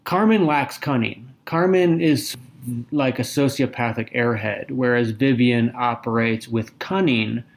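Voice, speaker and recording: A man with a mid-range voice co-hosts a casual literary podcast. He picks apart characters and plot in a measured, articulate way, pausing now and then to find the word. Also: medium pitch, vocal fry. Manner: articulate, analytical, with occasional hesitations. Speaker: podcast co-host. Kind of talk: casual book discussion.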